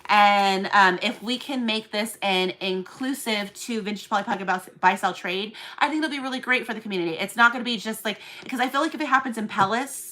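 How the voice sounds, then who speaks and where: A woman's voice: mid-range voice, fairly quick, few pauses, sharp tone. A woman with a New Zealand accent chatting casually on a livestream as a seller and collector group admin, rambling with lots of fillers and restarts.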